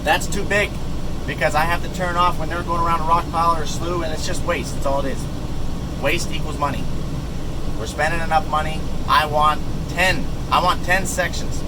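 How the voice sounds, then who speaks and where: Hoarse, ranting man in a noisy cab. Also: a little high. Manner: animated ranting, exclaims in bursts. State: hoarse, losing his voice. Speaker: farmer reviewing a sprayer. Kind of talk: casual spoken review.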